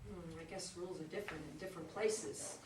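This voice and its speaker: A woman's voice: lowish voice, fairly even intonation, brisk, a little mellow, natural voice. A woman playing a well-off resident who is hesitant and politely defensive, in a staged reading of a play. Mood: polite, smug. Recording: distant echoey live recording, noisy background.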